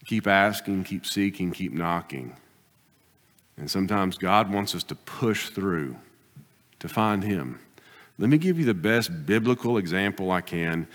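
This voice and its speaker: A man preaching a sermon as pastor, pressing his points with rising bursts and then dropping to quiet, deliberate asides. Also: sits low, lively intonation. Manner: emphatic preaching, swings between loud exhortation and quiet asides. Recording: long hall reverb.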